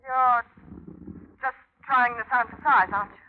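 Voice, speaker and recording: An American actress playing a wary, cool, hard-edged femme fatale in an old-time radio noir drama. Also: female voice, on the high side, steady tone, measured pace, natural voice. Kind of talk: 1940s-50s radio noir acting. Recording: old radio recording, muffled band, faint noise.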